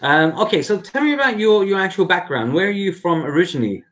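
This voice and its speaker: Lively-voiced man hosting an interview. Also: high-pitched, fast talker. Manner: conversational, repeats words while thinking. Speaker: host. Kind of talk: casual interview on a livestream.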